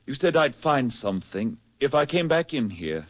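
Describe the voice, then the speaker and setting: An actor playing a haunted, gentle man finding his way back, halting and earnest, in 1940s radio drama style. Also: mid-range voice, very animated, no room sound. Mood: quiet. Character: haunted, gentle man recovering from a broken mind. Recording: muffled 1940s radio recording, music behind.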